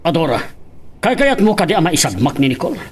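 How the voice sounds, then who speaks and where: A man's voice: fairly high pitch, very animated, quick pace, a little hiss or hum. An actor playing a strict, disapproving father in a radio drama, stern and emphatic. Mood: indignant.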